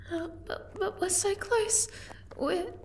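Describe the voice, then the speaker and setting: A woman voicing a gentle, timid, caring young moth girl in an ASMR roleplay, soft-spoken and hesitant. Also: extremely high pitch, a little hiss or hum, full character voice. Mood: hurt, weak.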